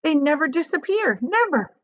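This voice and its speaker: Woman reading as an excitable chocolate inventor, very animated. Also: high-pitched, normal speed, dull audio, voice pushed up. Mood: proud, excited. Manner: emphatic, exclamatory. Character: excited, proud male chocolate inventor, bossy and eccentric.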